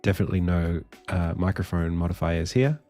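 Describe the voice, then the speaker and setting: Man, low croaky voice, tired, casual streamer, many fillers and restarts, faint music behind. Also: brisk, mellow. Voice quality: deep, croaky voice. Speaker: indie game developer streaming. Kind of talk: casual live stream talk.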